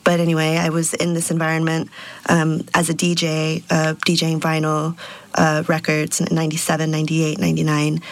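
A woman's voice: deep voice, completely flat delivery, brisk, dry recording. A woman in her thirties, an artist born in Peru and raised in Texas, answering interview questions in a reflective way with many fillers. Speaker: sound artist and DJ.

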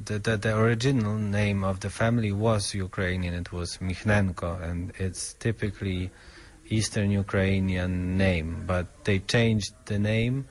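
Low-pitched, slow, halting man. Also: steady tone. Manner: halting, thoughtful, searching for words. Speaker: author interviewed about his book. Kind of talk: interview answers in English as a second language.

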